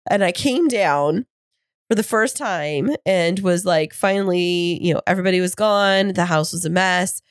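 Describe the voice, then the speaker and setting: A woman co-hosting a podcast in casual chat, her voice hoarse and froggy from being sick, telling stories in a teasing, animated way. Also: lowish voice, moderate pace, no room sound, clean studio sound. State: hoarse from illness. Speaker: podcast co-host. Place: home studio.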